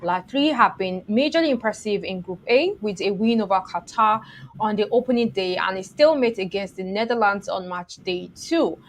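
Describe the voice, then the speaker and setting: A woman's voice: mid-range voice, moderate pace, slight background noise. A woman hosting a football show, reading scripted match previews and putting questions to viewers and guests.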